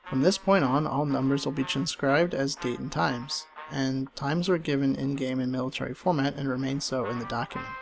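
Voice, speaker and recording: Creaky-voiced man narrating over music. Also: medium pitch, poor audio. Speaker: narrator reading online horror stories. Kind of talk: scripted creepypasta narration. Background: game music under the voice.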